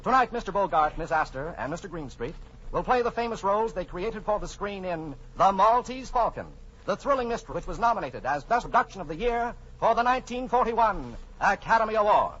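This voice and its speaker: A male American radio announcer from the 1940s, pitched high with a lively, swooping range. He reads a formal sponsor introduction in a grand, declamatory way. The old broadcast sounds dull. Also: fairly quick, slight background noise.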